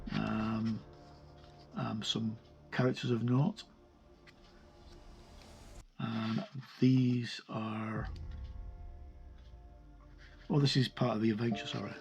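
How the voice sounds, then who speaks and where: A man's voice: medium pitch, creaky, a little mellow, poor audio. A man giving a casual, unscripted walkthrough of a game sourcebook, rambling and hesitant, often correcting himself as he goes. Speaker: reviewer presenting a tabletop game sourcebook.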